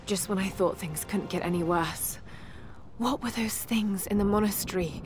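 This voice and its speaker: An actress playing a shaken but determined young adventurer, narrating her survival journal in a game with a breathy voice that often drops toward a whisper. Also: medium pitch, moderate pace, lightly acted. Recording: music behind the voice.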